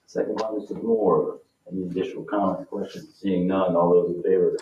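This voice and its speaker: Male meeting chair, very low voice, procedural delivery, distant and echoey with some background noise. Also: fairly even intonation, brisk, dark tone. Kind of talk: brisk formal meeting procedure. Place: town council meeting room. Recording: echoey room, distant mic, dull, noisy.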